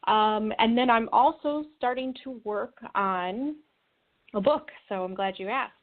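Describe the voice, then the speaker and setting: A woman's voice: fairly high pitch, a little slow. Earnest woman guest on a phone-like line. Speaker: interview guest. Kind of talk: conversational explanation. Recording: narrow phone-like call line.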